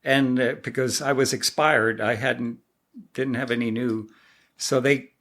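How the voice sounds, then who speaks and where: A man's voice: mid-range voice, fairly even intonation, a little slow, fairly bright tone. A man in his sixties with an American accent, telling his story casually as an interview guest, modest and given to self-deprecating jokes. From born in Germany, grew up in southern Michigan, USA. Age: 60s.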